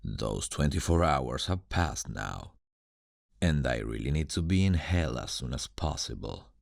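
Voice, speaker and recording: Teasing ancient demon, extremely low pitch, slow deliberate pace, dramatic, condescending, ASMR roleplay. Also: male voice, big pitch swings, no echo, clean studio sound, heavily acted. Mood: coaxing, teasing. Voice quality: very deep, gravelly. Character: suave sardonic male demon guardian, ancient and teasing.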